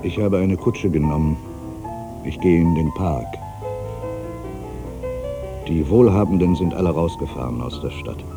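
An actor playing an aging, weary writer in a literary monologue, brooding and wry, with a gravelly voice and deliberate, weighted pauses. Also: male voice, rumbling low. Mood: uneasy, observant. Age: older.

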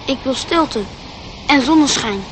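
Questioning young boy for radio play: high-pitched, eager, dreamy, a little pleading. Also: measured pace, background noise. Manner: pleading, inquisitive. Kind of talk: radio drama acting.

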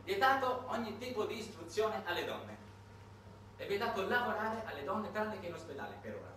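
A man narrating a solo stage monologue in a theatrical, measured way, with dramatic pauses. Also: high-pitched, sing-song, a bit bright. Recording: echoey noisy hall, distant microphone.

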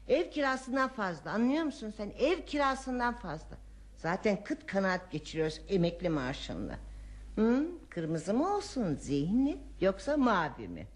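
A woman's voice: medium pitch, lightly acted. An actress playing an elderly housewife and grandmother in a radio play, scolding and teasing with frequent exclamations. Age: older. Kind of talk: radio theatre acting.

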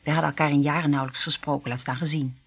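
A woman's voice: low voice, fairly even intonation, rapid-fire, husky. An actress in a radio drama, playing a cool, poised royal woman working as a secret agent. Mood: confiding, explanatory.

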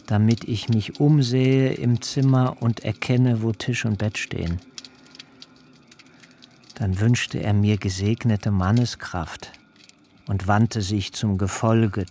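Jakob, slow and hushed. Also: male voice, lowish voice, a little hiss or hum. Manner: measured, hushed and intimate. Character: Jakob, young bridegroom recalling his wedding night. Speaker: actor in a radio play. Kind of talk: literary radio drama, first-person narration.

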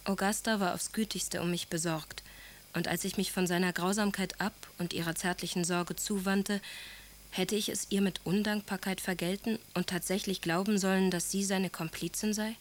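A woman's voice: medium pitch, steady tone, normal speed, a little hiss or hum, natural voice. An actress playing a wounded, devoted young aristocratic wife, recalling her marriage in a composed, literary way in a radio drama. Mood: earnest, reflective. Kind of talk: German radio drama monologue, memoir-like recollection.

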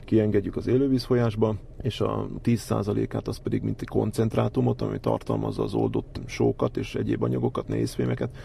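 A man's voice: on the low side, animated, creaky, dark tone, a little hiss or hum. A man with a Hungarian accent giving a formal, methodical technical explanation on a site tour. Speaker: waste facility staff guide. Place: outdoors at a landfill site.

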